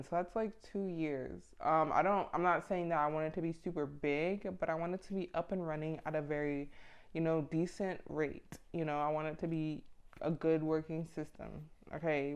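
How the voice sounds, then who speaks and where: A woman's voice: sits low, fairly even intonation, moderate pace, smooth. A woman in her mid twenties chatting casually and motivationally to camera, with a blunt, informal, slangy manner. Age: mid 20s. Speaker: vlogger giving self-improvement advice.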